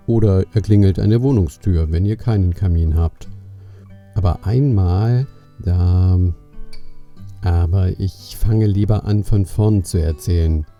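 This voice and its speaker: A man narrating a scripted children's story in a measured way, speaking directly to the young listener. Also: sits low. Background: soft plucked guitar music.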